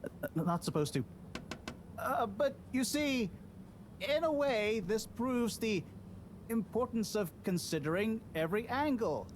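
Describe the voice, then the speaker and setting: A man's voice: high voice, expressive, a little slow, long pauses, slight background noise. An actor playing a nervous young male scientist who stammers and hesitates through a presentation. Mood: flustered.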